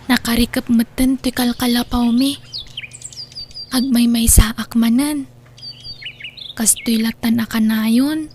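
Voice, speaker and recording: A woman's voice: fairly high pitch, darkish tone, close to an everyday voice. A woman with an Ilocano accent playing a dutiful, lonely young daughter living in seclusion in an audio drama. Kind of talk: Ilocano radio-style drama acting. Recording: noisy track with birdsong. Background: birdsong.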